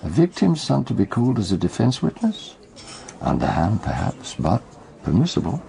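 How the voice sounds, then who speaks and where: A man playing a wry, knowing storyteller in a radio detective drama, speaking deliberately. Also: lowish voice, huge pitch swings, gravelly, mellow, a little hiss or hum, heavily acted. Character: wry, knowing male storyteller recounting a trial.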